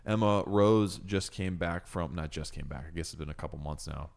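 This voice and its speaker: A man co-hosts a casual podcast in a low voice. He rambles, jokes, and fills gaps with ums as he goes. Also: normal speed, vocal fry, darkish tone. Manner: rambling, jokey, full of fillers and playful bits. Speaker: podcast co-host.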